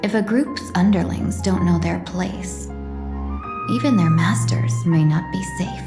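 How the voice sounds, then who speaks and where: A woman voicing a composed, sly fox-woman diplomat for a video game, speaking in a measured, polite and coolly self-possessed way. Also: on the low side. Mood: cool threat. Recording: roomy echo under clear background music.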